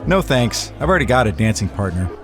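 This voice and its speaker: An actor playing a cool, cocky, laconic hustler in a hardboiled period radio drama. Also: male voice, fairly low pitch, sing-song, fast talker, over music. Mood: wisecracking. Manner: laconic, wisecracking. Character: wry, cool-headed Prohibition-era male hustler, cornered but cocky.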